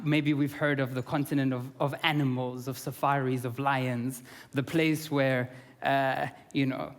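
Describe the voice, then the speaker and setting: A young man from Austria giving an earnest conference talk, with many fillers and restarts. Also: mid-range voice. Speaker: conference speaker. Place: conference hall. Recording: long reverb of a conference hall.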